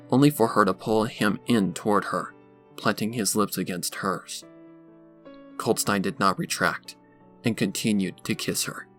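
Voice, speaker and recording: Low, gravelly male story narrator. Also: a bit bright. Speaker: fan fiction narrator. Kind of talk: scripted dramatic story narration. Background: background music.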